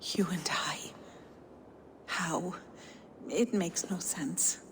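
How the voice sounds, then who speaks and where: A woman acting in a video game as a gentle, naive, dutiful daughter of giants, earnest and softly formal. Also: on the low side, extremely slow, whispered, big-room sound. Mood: bewildered.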